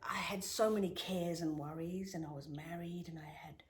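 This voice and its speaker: A middle-aged woman whose mother tongue is Greek, telling reflective stories in an interview with emphatic rises and soft whispered asides. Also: fairly low pitch, steady tone, normal speed, a little hiss or hum. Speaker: guest, spiritual healer.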